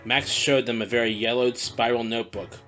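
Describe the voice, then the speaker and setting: A man's voice: medium pitch, fairly even intonation, brisk, sharp tone, soft background music. A man narrating an audio drama in a scripted storytelling style.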